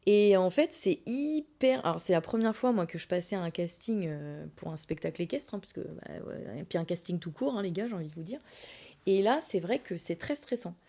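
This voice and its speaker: Casting: female podcast host, warm relaxed timbre, chatty and animated, self-mocking, recounts personal anecdotes in everyday slangy speech. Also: fairly low pitch, a little fast. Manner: animated, colloquial, self-deprecating. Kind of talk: casual personal storytelling.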